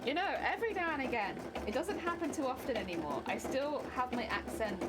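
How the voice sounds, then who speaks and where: A woman's voice: sits high, expressive, fairly quick, heavy background noise. A woman with a British accent chatting casually on a craft live stream, giggling and muttering to herself as she works. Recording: loud music playing under voice. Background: loud background music and sewing machine.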